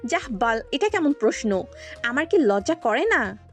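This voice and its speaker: A woman's voice: high voice, big pitch swings, unhurried, faint music behind. A woman with a Bangladeshi accent playing a cheeky young best friend in an audio story, slangy and mock-complaining. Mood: bashful.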